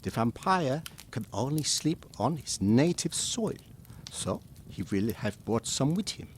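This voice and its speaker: An actor playing a learned, resolute old professor in an audio drama, lecturing in measured, emphatic fashion. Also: male voice, medium pitch, very animated, slight background noise. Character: learned old male professor, grave, resolute vampire expert.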